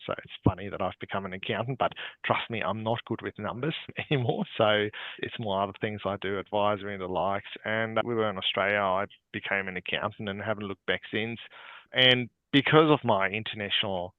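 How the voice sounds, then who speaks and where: A man's voice: fairly low pitch, a little fast, dry recording. A man who grew up in Singapore, an accountant presenting a webinar in a friendly, informal way over a video call. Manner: friendly, informal, encourages questions. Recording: tinny phone-like video call audio.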